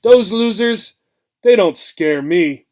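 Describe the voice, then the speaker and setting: A man acts out an old storybook mouse with a gruff, curt way of talking. He is stern, scornful and impatient, bossing the young ones about in a raised pitch. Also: lively intonation, takes it slowly, darkish tone.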